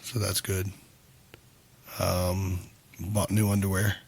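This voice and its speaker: Hoarse low-voiced man with dry jokes. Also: flat delivery, takes it slowly, darkish tone. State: hoarse from illness. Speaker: podcast co-host. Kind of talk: casual podcast banter.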